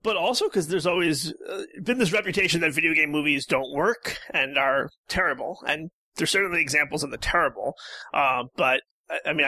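A man talking casually as a podcast guest, in a halting, rambling way. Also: a little high, sharp tone, no echo. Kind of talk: casual discussion.

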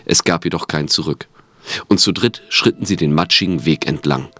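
A man's voice: deep voice, moderate pace, bright, forceful tone. A man reading scripted narration for an audio drama.

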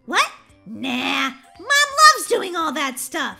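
A woman voicing an excitable, entitled young girl in a children's game roleplay, with an exaggerated, dramatic delivery. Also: high-pitched, slow, creaky, faint music behind, exaggerated voice. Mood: dismissive.

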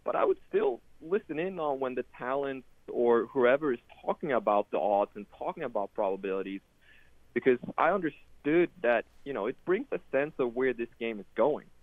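A man's voice: mid-range voice, animated, moderate pace, no echo. Man from Sweden on a tinny line. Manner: rambling, many fillers and restarts. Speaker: guest, sports data product manager. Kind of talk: casual interview over a call. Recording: tinny phone-like line, dry.

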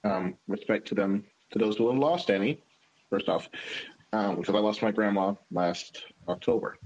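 A man in his thirties from Houston hosts a casual discussion in a husky voice. He speaks earnestly, often halting and restarting his sentences. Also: fairly low pitch, darkish tone, lo-fi sound. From Houston, United States. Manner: earnest, hesitant, many restarts. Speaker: podcast host.

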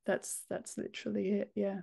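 A woman's voice: mid-range voice, completely flat delivery, moderate pace, creaky, warm tone. A woman in her thirties giving explanatory answers as an interview guest on a video call. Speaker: podcast guest, book formatter. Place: home office, indoors, on a video call. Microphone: wired earphones on a video call.

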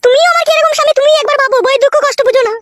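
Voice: very high-pitched, rapid-fire. A performer voicing a bossy, short-tempered village wife in a comic cartoon, scolding curtly. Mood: aggrieved scolding. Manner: scolding, curt, threatening. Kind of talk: exaggerated comic cartoon dialogue. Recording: clearly noisy track with clicks.

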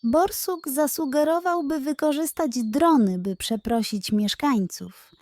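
A woman's voice: high voice, a little slow, darkish tone, no room sound. A woman narrating a scripted children's bedtime story as the storyteller.

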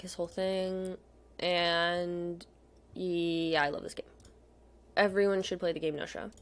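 A young adult woman chatting casually over screen browsing as a video host, gushing and slangy, sometimes dropping to a murmur. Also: lowish voice. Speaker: video host, K-pop photocard collector. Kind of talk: casual rambling screen-share commentary.

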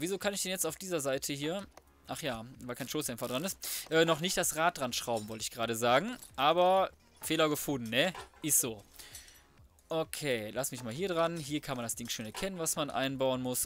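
A man's voice: medium pitch, a bit bright. A man giving casual, slangy gameplay commentary, chatting through each step as he goes. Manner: chatty, self-narrating, slangy. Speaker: let's player commentating.

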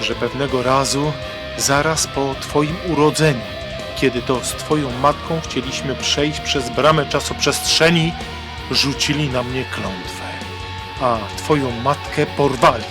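A man acting a grave, protective father in a radio drama, speaking solemnly with dramatic pauses. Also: medium pitch, music in the background, lightly acted. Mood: anguished confession. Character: grave protective father, secret guardian of a time gate.

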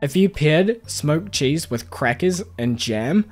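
Young male video creator, New Zealand accent, friendly and chatty, animated delivery, light music bed. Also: on the high side, slow pace. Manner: friendly, chatty, stumbles over names. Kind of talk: scripted voice-over answering viewer questions. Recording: faint background music under voice-over.

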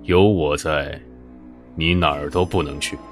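Casting: stern scientist, extremely deep, terse and menacing, music behind. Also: male voice, steady tone, unhurried. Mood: cold menace. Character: stern, watchful male scientist, calmly menacing. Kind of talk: Chinese audio drama acting. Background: background music.

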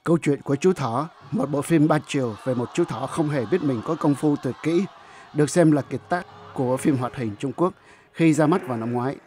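A man's voice: on the high side, brisk, dark tone, faint music behind. A man narrating a scripted news report as a reporter.

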